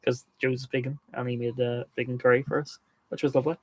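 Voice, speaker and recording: A man's voice: mid-range voice, flat delivery, fast, dry recording. Chatty man with a slight lisp. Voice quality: slight lisp, speaks through teeth. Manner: chatty, laughs often. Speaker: co-host. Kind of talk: casual livestream chat.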